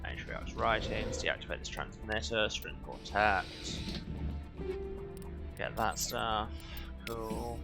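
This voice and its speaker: A man's voice: on the low side, slow, gravelly, long pauses, loud music behind the voice. A man giving casual, wry, sardonic live commentary as he streams a video game.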